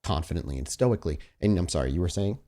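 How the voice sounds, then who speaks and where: A man with a low voice runs a tabletop roleplaying game. He mixes improvised, descriptive scene-setting with casual table talk, and he addresses his player directly in a conversational way. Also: lively intonation, quick pace, mellow.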